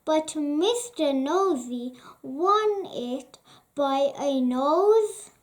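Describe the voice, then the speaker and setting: Very high-pitched, slow children's storybook reader. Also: animated. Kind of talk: read-aloud bedtime story narration.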